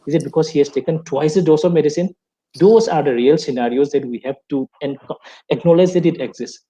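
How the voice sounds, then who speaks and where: Earnest man with mellow voice. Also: on the high side, rough recording. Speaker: panelist. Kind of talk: online panel discussion.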